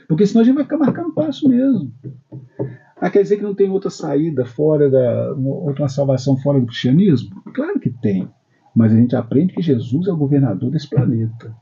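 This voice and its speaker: A man's voice: on the high side, warm tone, slightly rough, muffled recording. A man hosting a religious talk program in a spiritist center, speaking in a casual, storytelling way with frequent tag questions. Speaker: program host.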